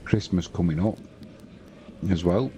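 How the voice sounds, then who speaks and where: A man in his fifties with a British accent, hosting a live football stream, chatting slowly and reading out scores in an unhurried, relaxed way. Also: low voice, muffled recording, a little hiss or hum. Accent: British English. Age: 50s. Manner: slow, unhurried, chatty, reads scores aloud. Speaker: football commentator and host.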